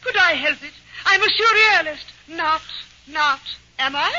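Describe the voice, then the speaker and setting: A swooning lovesick poetess, very high. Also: animated, measured pace, bright, forceful tone, heavily acted. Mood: pleading. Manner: theatrical sobbing and wailing. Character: lovesick female surrealist poet, melodramatic. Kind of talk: 1940s radio comedy acting. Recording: muffled 1940s radio broadcast with faint hiss.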